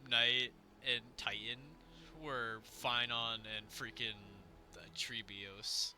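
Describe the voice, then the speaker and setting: A man streaming a game with a gravelly voice, rambling and swearing as he talks in and out of character. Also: medium pitch, fairly even intonation, sharp tone, slight background noise. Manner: rambling, halting, swears a lot. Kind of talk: casual in-character game commentary.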